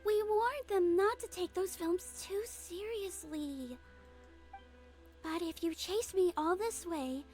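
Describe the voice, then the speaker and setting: A woman voicing a small, gentle, earnest girlish head nurse in a game fan dub, sweet, polite and measured. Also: very high-pitched. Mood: concerned, apologetic. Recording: music behind voice.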